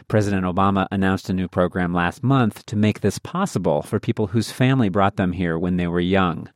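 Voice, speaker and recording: A man with a fairly low voice hosts a public radio show, reading his script in a steady, measured way. The studio sound is close and dry, with no room echo. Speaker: radio host. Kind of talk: public radio reporting and interviewing. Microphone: studio microphone.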